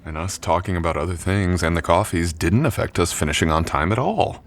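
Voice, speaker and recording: Very deep, tender young college jock, acted by a man. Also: animated, a little fast. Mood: teasing, affectionate. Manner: soft, teasing, gently reassuring. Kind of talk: intimate boyfriend ASMR roleplay. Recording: clearly audible background noise.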